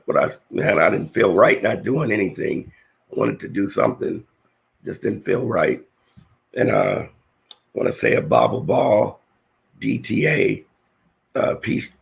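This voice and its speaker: Male Bible teacher, low, hoarse and gravelly, earnest slow verse reading. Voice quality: raspy, gravelly. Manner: slow, earnest scripture reading with halting restarts. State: hoarse, voice nearly lost. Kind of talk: scripture-reading lesson.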